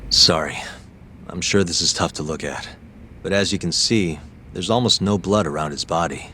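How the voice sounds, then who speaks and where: An actor playing a calm, persistent private detective in a video game, speaking in a measured, matter-of-fact, probing way. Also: male voice, sits low, slight background noise. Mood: calm, explaining. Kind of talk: English video game dub acting.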